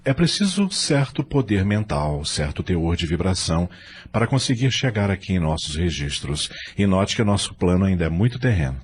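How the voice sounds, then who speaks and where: A man playing an earnest, patient spirit records clerk in an audio novel, explaining in a measured, didactic way. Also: low voice, sing-song, slightly rough, full character voice. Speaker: guide at a spirit-world records centre.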